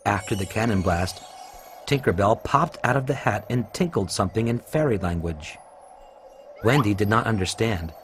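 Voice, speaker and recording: A low-pitched male storyteller reads a children's tale with music playing behind him. His delivery is measured and clearly enunciated, as scripted narration for young listeners. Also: animated, a bit bright.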